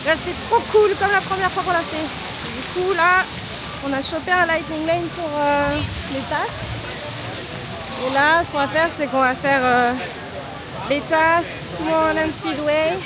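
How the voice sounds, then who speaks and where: A young woman vlogging casually at a theme park, talking frankly and relaxed, with plenty of hesitant fillers. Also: high voice, a little slow, rough recording. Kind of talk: casual travel vlog chat. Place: outdoors at a busy theme park. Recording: loud crowd and park music behind.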